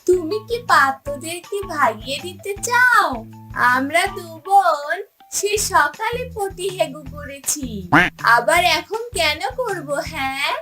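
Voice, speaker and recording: Cartoon fox bride: young, whiny, scolding, slow-paced delivery with extremely high pitch. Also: female voice, lively intonation, bright, forceful tone, faint music behind, exaggerated voice. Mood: cheerfully silly. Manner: indignant, whining, mishears and scolds. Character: hard-of-hearing, quarrelsome young fox bride, easily offended. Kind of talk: exaggerated cartoon voice acting.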